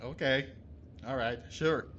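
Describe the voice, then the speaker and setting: A man's voice: mid-range voice, fairly even intonation, takes it slowly, a bit bright, highs cut off, slight background noise. A man giving casual gameplay commentary and reading game text aloud, with game music behind him. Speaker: gamer playing and commenting. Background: video game music.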